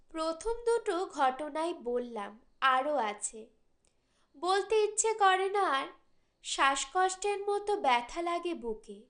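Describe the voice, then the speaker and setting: A woman narrating a literary short story as an audiobook, with expressive, deliberate phrasing. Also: sits high.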